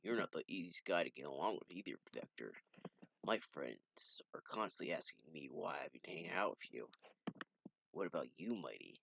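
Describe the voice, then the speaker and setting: A man voicing a calm, steady young male armadillo in a low, mild voice. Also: fairly quick, vocal fry, muffled recording, slight background noise, heavily acted.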